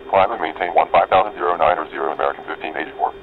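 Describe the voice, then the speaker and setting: A man voicing a brisk airline pilot in a flight simulator, giving clipped readbacks through a radio filter. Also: low-pitched, slight background noise. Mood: brisk, routine. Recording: tinny narrowband radio with background chatter.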